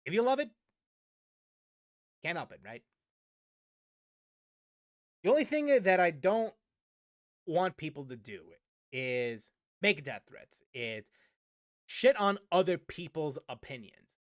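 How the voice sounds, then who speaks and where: A man's voice: a little high, very animated, takes it slowly, long pauses, no room sound, clean studio sound. A man streaming casual game chat, rambling and often repeating his phrases for emphasis. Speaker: gaming streamer answering viewers. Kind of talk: casual livestream chat about games.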